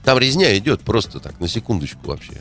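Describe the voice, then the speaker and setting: A man's voice: on the low side, sing-song, quick pace, a bit bright, faint music behind. A man in a heated political discussion, indignant, firing rhetorical questions and hesitating often.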